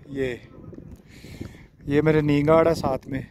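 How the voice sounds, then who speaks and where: Higher-voiced man, noisy windy recording. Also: level tone. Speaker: travel vlogger. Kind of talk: casual vlog narration to camera. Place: outdoors in an open mountain valley. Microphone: handheld phone. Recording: windy outdoor phone audio, loud noise.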